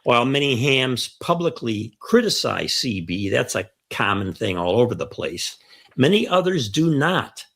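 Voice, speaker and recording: A man's voice: mid-range voice, sing-song, a little slow, creaky, fairly bright tone. An older man with an American accent, chatting through an explainer as host and stressing key phrases. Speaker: host of a ham radio show.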